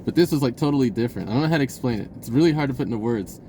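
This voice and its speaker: A man's voice: mid-range voice, racing, low-quality recording. Rambling, stammering man over faint background noise. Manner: blurting, self-interrupting, stammering over phrases; excitable, re-enacts conversations in quoted speech. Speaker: personal storyteller. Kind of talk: casual rambling vlog monologue.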